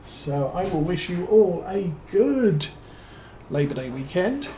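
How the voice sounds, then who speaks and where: A man with a British accent giving relaxed let's-play commentary as a streamer, musing and thinking aloud with plenty of fillers. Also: high voice, takes it slowly, slight background noise, poor audio. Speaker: game streamer.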